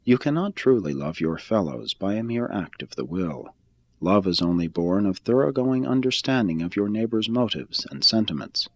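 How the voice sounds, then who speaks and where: A man with a slightly low voice reads a spiritual text aloud. His pitch moves widely and expressively across each sentence. The delivery is formal and solemn, with measured, deliberate phrasing, like an audiobook. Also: expressive. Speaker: reader of a religious text. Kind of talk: scripted spiritual narration, audiobook reading.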